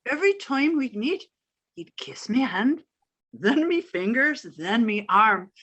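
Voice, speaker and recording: A bright, high, sing-song older Irish landlady, played by a woman. She chatters coyly and theatrically, swooping up and down as she gossips in old-time radio comedy fashion. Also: very animated, unhurried, slightly rough, highs cut off, heavily acted. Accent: put-on Irish.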